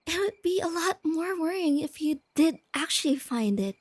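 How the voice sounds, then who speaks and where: A woman playing a shy, nerdy young girlfriend in a soft-spoken ASMR roleplay, sweet and earnest, explaining things gently and without hurry. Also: very high voice, expressive, moderate pace, no echo. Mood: playful, fond.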